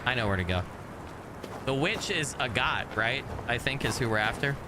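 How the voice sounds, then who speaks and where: A man's voice: medium pitch, expressive, normal speed. Man, american, faint regional accent, rambling game streamer, faint outdoor noise behind. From United States. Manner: rambling, restarts sentences often. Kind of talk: casual gameplay chat with viewers.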